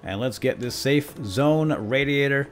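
A man's voice: medium pitch, unhurried, soft background music. A man hosting a video game let's play, jokey and playful, chatting to viewers and to himself as he plays. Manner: animated, jokey, talks to viewers and himself, exclaims. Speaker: host of a gaming let's play series.

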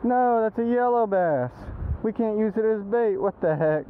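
A man's voice: high-pitched, expressive, normal speed. A man doing casual, slangy, exclamatory vlog chat as an angler, recorded outdoors on an action camera. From East Texas, United States. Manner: informal, exclamatory, buddy-style slang. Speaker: angler vlogger. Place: outdoors by a creek. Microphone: first-person action camera. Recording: thin phone-like sound, outdoor noise.

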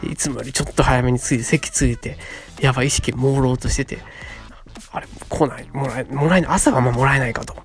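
A man of about thirty, telling stories and pitching ideas as a radio co-host in a polite, conversational way. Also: fast talker. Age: around 30. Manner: polite, conversational, acts out short quotes. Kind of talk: casual monologue, storytelling and pitching ideas. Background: background music.

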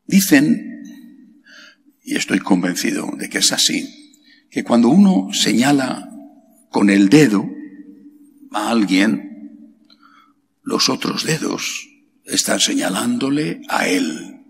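A middle-aged man from Spain, a priest preaching a homily slowly and emphatically, asking rhetorical questions and leaping up in pitch on key words, into a stand mic in a large chapel. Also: sing-song. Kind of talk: sermon. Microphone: stand mic at lectern. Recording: long hall reverb, faint background hiss.

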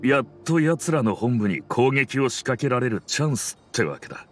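A man voicing a loyal, battle-worn mercenary in a video game, recounting things in a gruff, matter-of-fact way. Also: mid-range voice, lively intonation, normal speed, close to an everyday voice. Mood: determined. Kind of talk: dramatic game acting. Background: soft music.

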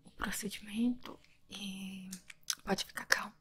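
A young Brazilian woman whispering breathily in a slow, soft, reassuring ASMR roleplay as an optician, close to the microphone. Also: medium pitch, steady tone. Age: young adult. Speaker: ASMR host playing an eye-test optician. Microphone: desk microphone, close up.

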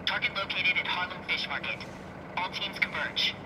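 An actor playing a terse paramilitary hunter giving reports in an action video game. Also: male voice, high voice, normal speed, noisy background, lightly acted. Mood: cold, efficient.

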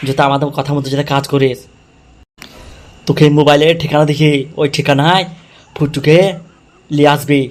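A man's voice: fairly high pitch, little pitch movement, normal speed, pauses often, natural voice. A man playing a threatening, foul-mouthed gangster kidnapper in an amateur comedy cartoon, blunt and bullying. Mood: menacing.

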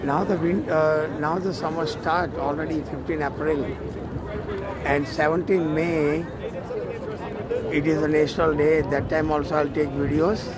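A middle-aged man from India with an Indian accent, talking in halting, repeated short phrases for a casual outdoor vlog on a phone. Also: fairly high pitch, flat delivery, a loud crowd. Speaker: vlogger and matchmaker. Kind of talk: casual selfie travel vlog. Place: outdoors in an open city square. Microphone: phone at arm's length. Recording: loud outdoor city square noise. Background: urban ambience and vehicles.

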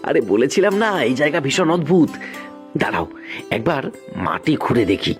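A man's voice: a little high, sing-song, brisk, soft background music. A man voicing a shrewd, humble, cautious village farmer in a cartoon folk tale. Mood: secretive, cautious.

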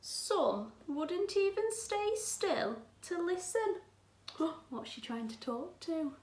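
A high-voiced woman reads a storybook to small children. Her delivery is slightly unhurried and very lively in pitch, with encouraging teacherly asides. The room gives her voice an echo. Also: a little hiss or hum. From England. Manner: expressive, addressing young children with encouraging asides and gasps. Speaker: infant school teacher. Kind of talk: children's storybook read-aloud. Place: small room at home, indoors. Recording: roomy, echoey indoor sound.

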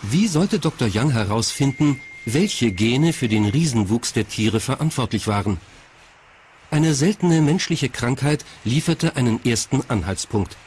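A man's voice: mid-range voice, lively intonation, a little slow, darkish tone, slight background noise. A man reading scripted documentary narration in the role of narrator.

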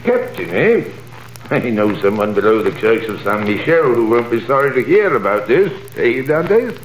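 An actor playing a sly, gossiping tailor neighbor in a 1930s radio drama, teasing and insinuating. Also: male voice, mid-range voice, big pitch swings, moderate pace, slight background noise, close to an everyday voice. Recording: echoey old radio recording.

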